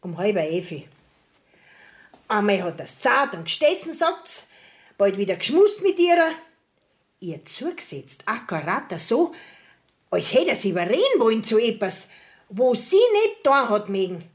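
Scolding village widow, Bavarian dialect, stubborn, slow emphatic delivery, very animated pitch, radio play. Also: female voice. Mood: insistent. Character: indignant, stubborn village widow pressing her complaint. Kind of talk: dialect radio drama acting.

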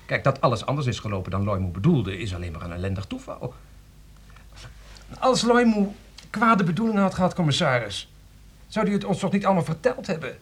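An actor playing an educated man who anxiously defends his friend, hesitant but persuasive, with a husky voice, in a radio drama. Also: fairly high pitch, big pitch swings, fairly quick. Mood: pleading, insistent. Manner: hesitant, explanatory, pleading. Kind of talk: Dutch radio drama acting.

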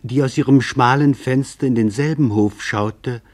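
A man narrating a scripted literary documentary in a grave, measured way with deliberate pauses. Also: mid-range voice, darkish tone.

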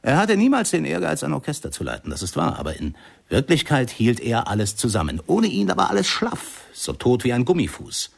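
Ein Schauspieler spielt in einem Hörspiel einen knappen, nachdrücklichen Musiker und Chronisten mit knarrender Stimme. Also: male voice, mid-range voice, wildly swooping pitch, brisk, full character voice. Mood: emphatic. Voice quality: creaky. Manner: clipped, emphatic, repetitive. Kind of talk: radio drama acting.

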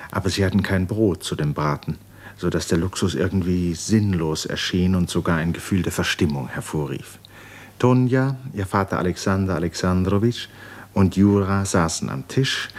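Man, radio drama narrator, deep voice, rough breathy tone, scripted literary storytelling.

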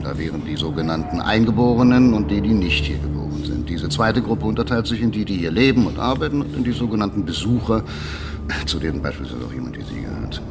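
An actor in a German radio play as a self-important, rule-obsessed camp administrator who lectures in a formal, pedantic way. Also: male voice, deep voice, noisy background.

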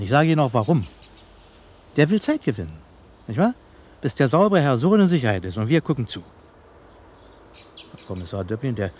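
An actor as an indignant, sarcastic police investigator. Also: male voice, on the low side, darkish tone, slight background noise, natural voice. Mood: sarcastic, grumbling. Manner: scornful, colloquial, emphatic. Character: indignant, sarcastic male police investigator grumbling about a case. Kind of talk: German radio drama acting.

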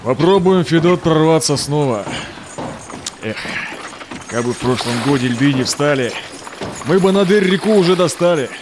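A man acts out a resolute Cossack explorer in a verse audio drama. His voice is slightly high and very animated, declaiming in rhyme. Clear noise runs behind him. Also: normal speed, pauses often, close to an everyday voice. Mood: earnest, urgent. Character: Semyon Dezhnev, resolute loyal Cossack explorer, devoted husband.

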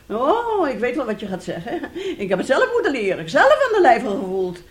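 A woman's voice: on the high side, huge pitch swings, normal speed. An actress in a radio drama playing a bitter, sarcastic middle-aged wife and mother who talks reproachfully and theatrically. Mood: reproachful, scornful. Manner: reproachful, sarcastic, dramatic, swinging between hushed and loud. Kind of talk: radio drama acting in Dutch.

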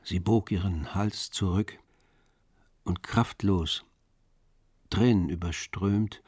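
A man narrating a literary radio drama from a script, measured and composed. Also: low voice, darkish tone, slightly rough, dry recording, clean studio sound.

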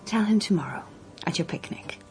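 An actress in a radio drama playing a teasing, reassuring young sister, soft-spoken and unhurried, with a very breathy, husky voice. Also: female voice, lowish voice, lively intonation, whispered, close to an everyday voice, poor audio.

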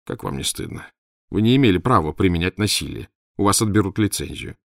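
A man's voice: deep voice, animated, fast, creaky, no echo, clean studio sound. A man voicing a nervous, indignant middle-aged chief doctor in an audiobook. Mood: flustered. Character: flustered middle-aged male head doctor.

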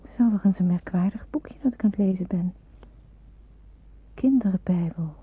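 A woman's voice: medium pitch, normal speed, husky. An actress playing an earnest, anxious young woman in wartime hiding, musing hesitantly in an old radio play. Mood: hushed, tense, musing. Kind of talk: Dutch radio play acting with hushed inner monologue. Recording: muffled, narrow-band old radio recording.

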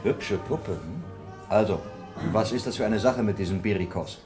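An actor playing a brash, nosy, cursing newspaperman in a radio crime drama, blunt and gruff. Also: lowish voice, normal speed, over music, close to an everyday voice. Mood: gruff, pushy.

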